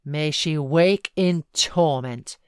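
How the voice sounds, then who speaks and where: Woman doing a tormented guy raging with grief, storybook drama, slow forceful outcries, dry close sound. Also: on the high side, clear, resonant tone, dry recording. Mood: bitter fury. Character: anguished, vehement man raging in grief and passion. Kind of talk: dramatic audiobook character reading.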